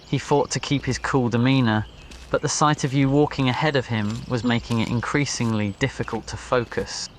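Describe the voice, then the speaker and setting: A man's voice: medium pitch, slight background noise. A man reading a scripted ASMR story as its narrator. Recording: nature ambience behind voice. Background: crickets, birds and insects.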